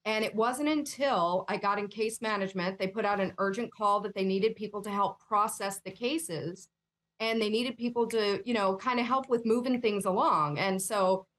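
A woman's voice: mid-range voice, moderate pace, fairly bright tone. A woman being interviewed as a guest, answering in a plain, conversational, storytelling way. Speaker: guest, whistleblower.

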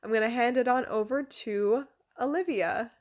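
A woman's voice: a little high, normal speed, faint music behind. A woman in her twenties hosting a podcast, chatty and informal, with a self-deprecating way of talking. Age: 20s. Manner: informal, self-deprecating, given to giggling asides. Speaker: podcast host. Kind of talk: chatty podcast intro and interviewing.

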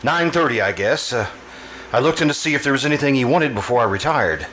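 A man performs a servile household butler from an old radio play. The voice is medium in pitch, respectful and slightly hesitant. There is faint noise around it. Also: expressive, fast, fairly bright tone, close to an everyday voice. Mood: deferential, unsure. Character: deferential male household butler. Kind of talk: old-time radio drama acting. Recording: noisy live stage performance recording.

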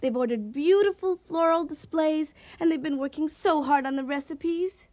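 An actress playing a plain-spoken, earnest waitress and peacemaker in a radio comedy, folksy and pleading. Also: female voice, high voice, moderate pace, darkish tone, natural voice. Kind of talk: radio comedy drama acting.

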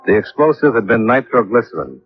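Businesslike male investigator, low voice, measured delivery, 1950s radio drama, dull old recording, music behind. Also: very animated. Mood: sober narrating. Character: steady, businesslike male investigator who narrates his own case. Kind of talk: 1950s radio detective acting and narration.

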